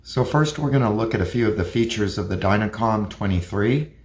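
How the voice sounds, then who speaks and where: A man's voice: fairly low pitch, lively intonation, a little fast. A man giving a hands-on gadget review, explaining features plainly and methodically, step by step. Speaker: product reviewer.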